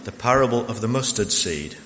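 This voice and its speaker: A man's voice: fairly low pitch, lively intonation, fairly quick, fairly bright tone. A man giving a formal Bible reading at a church service. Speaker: scripture reader at a church service. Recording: echoey hall, clear background noise.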